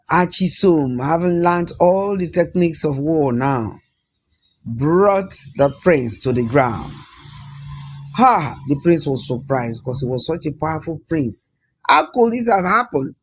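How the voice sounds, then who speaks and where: A man narrating a folktale as a storyteller, dramatic, repeating words for emphasis. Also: fairly high pitch.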